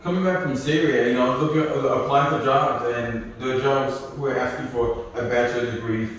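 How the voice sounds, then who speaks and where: A man's voice: mid-range voice, fairly even intonation, moderate pace, a little hiss or hum. A man from Nigeria hosting a casual interview, with frequent "okay, okay" interjections. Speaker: host. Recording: echoey room, mic far away.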